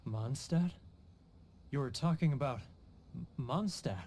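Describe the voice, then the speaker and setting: A man's voice: mid-range voice, lively intonation, unhurried, a little mellow, a little hiss or hum. An actor playing a homesick, guilt-ridden male merchant in a video game, theatrical and sighing, self-pitying in manner. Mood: wistful, nostalgic. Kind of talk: video game character acting.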